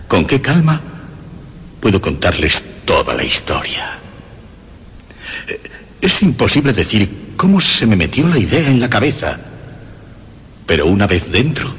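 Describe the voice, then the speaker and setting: Low, nervous, obsessive madman. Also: fairly bright tone, slightly rough, heavily acted. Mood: controlled, insistent. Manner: agitated, emphatic, halting repetitions. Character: nervous, obsessive male murderer insisting he is sane. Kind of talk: dramatic radio horror monologue. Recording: long reverb, faint background noise.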